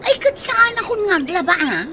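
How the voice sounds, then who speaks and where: High female voice, Ilocano accent, sharp-tongued bickerer, sassy, radio comedy drama, noisy recording. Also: very animated, moderate pace, full character voice. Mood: breezy, defiant.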